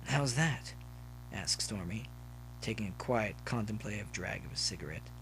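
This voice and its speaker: A man's voice: on the low side, normal speed, vocal fry, fairly bright tone, a little hiss or hum, poor audio. A man reading scripted story narration as an audiobook narrator.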